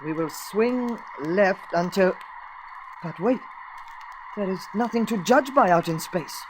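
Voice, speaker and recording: A woman's voice: mid-range voice, animated, slow. A woman playing an earnest, apologetic boy spaceship pilot with stiff, formal phrasing in an old-time radio drama. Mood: serious. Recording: noisy vintage radio recording.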